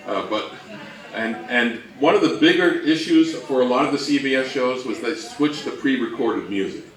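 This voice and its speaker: A man presenting an informal history talk, speaking in a halting, thinking-aloud way, recorded away from the mic. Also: on the low side, distant mic. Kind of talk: informal lecture on old radio history. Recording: roomy, off-mic, faint noise.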